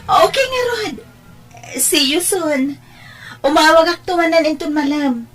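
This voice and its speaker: A woman's voice: sits high, lively intonation, unhurried, a bit bright. A woman playing a friendly, sweet young girlfriend in an Ilocano drama, chatty and with an Ilocano accent. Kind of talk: Ilocano radio-style family drama acting.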